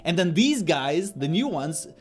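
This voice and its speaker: A man's voice: fairly high pitch, sing-song, measured pace. A man guesting on a casual chat over a voice call, bantering and swearing as he tells stories. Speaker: guest streamer. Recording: voice call with lofi music behind. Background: lofi music.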